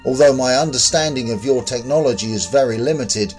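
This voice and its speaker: A man's voice: medium pitch, brisk, a little mellow, faint music behind, close to an everyday voice. A man voicing a detached, curious alien visitor in a story, formal and literal in manner. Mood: detached, explanatory.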